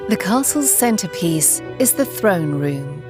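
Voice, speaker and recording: A woman reading scripted documentary narration in a measured, explanatory way. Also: lowish voice, very animated. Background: background music.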